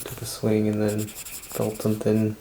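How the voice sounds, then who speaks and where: A man giving quiet, brief, subdued answers as a client in an ASMR roleplay. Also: fairly low pitch, completely flat delivery, measured pace, slight background noise, low-quality recording.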